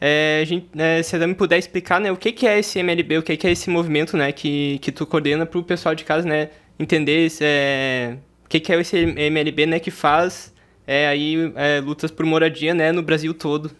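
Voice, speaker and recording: A man's voice: on the high side, steady tone, normal speed, a bit bright. Rambling young man, filler-heavy host. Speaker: podcast co-host, physics student. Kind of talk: casual podcast hosting.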